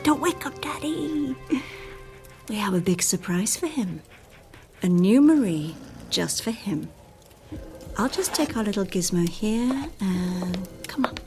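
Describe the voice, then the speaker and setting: An actress playing a volatile, scornful, sarcastic wife in a dramatic radio play. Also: female voice, medium pitch, lively intonation, slow pace, long pauses. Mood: hushed, scheming. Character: volatile, scornful wife hopping between dimensions. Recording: music under the voice.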